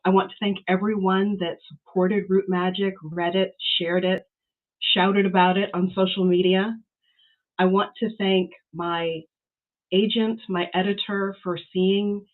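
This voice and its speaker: A woman giving an award acceptance speech as an author. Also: on the low side. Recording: band-limited, slightly dull audio.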